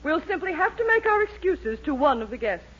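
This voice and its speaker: Snobbish sitcom matron, imperious and melodramatic, very animated pitch, dull vintage radio sound. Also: female voice, brisk. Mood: haughty, resolute. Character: haughty, fussy, snobbish middle-aged society mother. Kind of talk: 1940s radio comedy acting. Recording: muffled 1940s radio recording, faint noise.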